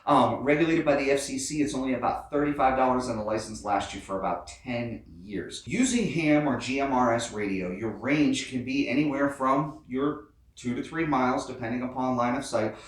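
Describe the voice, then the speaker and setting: A man's voice: mid-range voice, moderate pace. A middle-aged man giving a casual explainer as host of an off-road how-to channel. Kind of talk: casual explainer talk to camera. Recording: very echoey, distant from the mic.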